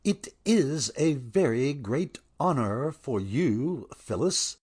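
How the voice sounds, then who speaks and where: A man playing a grave, concerned father with a husky voice and measured manner in a dramatic audiobook. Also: medium pitch, expressive, a little mellow.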